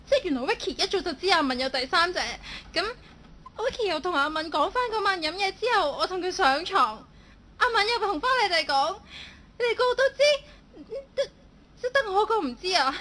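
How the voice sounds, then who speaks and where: Woman playing a fragile young office worker, high-voiced. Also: animated, a little fast, bright, forceful tone, full character voice. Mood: distraught, crying. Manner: hesitant, stammering when upset. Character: Candy, young female office worker, fragile, lovelorn, timid. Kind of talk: Cantonese online radio drama acting.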